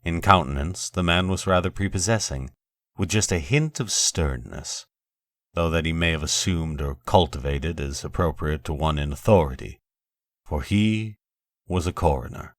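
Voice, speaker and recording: A man narrating a horror story in a deliberate, theatrical, literary manner, like the host of a scripted show. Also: low voice, no room sound, studio quality.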